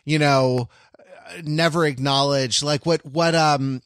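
A man co-hosting a podcast in casual chat, reflective and halting, with many restarts and fillers. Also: fairly high pitch, steady tone, a bit bright, clear, resonant tone, dry recording, studio quality. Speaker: podcast co-host.

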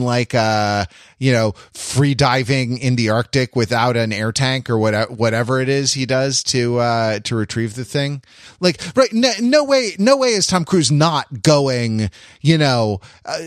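A man hosting a casual discussion podcast, explaining things at length in a halting, backtracking, wry way. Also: medium pitch, dry recording, clean studio sound. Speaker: podcast host.

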